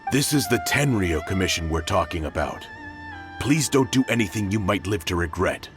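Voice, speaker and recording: A man's voice: deep voice, lively intonation, normal speed. An actor playing a cautious, helpful townsman in a video game. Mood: concerned. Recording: loud game music behind.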